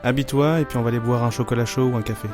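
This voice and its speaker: An actor playing a caring, insistent high-school boy, a loyal friend, in an amateur audio drama. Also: fairly even intonation, rapid-fire, over music, close to an everyday voice. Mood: insistent, encouraging.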